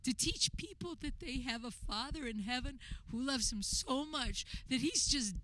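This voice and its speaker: A woman playing an earnest, moved witness in a radio theater, speaking in a deliberate, reflective monologue. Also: sits high, pushed and bright, a little hiss or hum, rough recording. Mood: earnest wonder.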